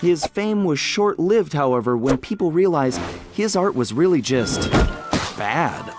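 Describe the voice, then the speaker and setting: An adventurous, easygoing thief tells his story in a video game cutscene with music playing behind him. His delivery is wry and expressive, rising and falling widely and pausing for dramatic effect. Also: a little high. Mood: matter-of-fact storytelling. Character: smooth-talking male cartoon thief, adventurous, easygoing gang leader. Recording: background music under the voice.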